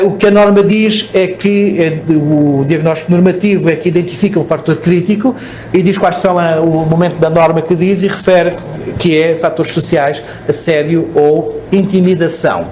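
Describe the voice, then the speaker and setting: A man's voice: on the high side, a little mellow, a little hiss or hum. A man with a European Portuguese accent giving a conference presentation, explaining step by step and checking in with the audience. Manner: explanatory, frequent "ok?" checks and restarts. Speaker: speaker at a conference. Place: conference hall.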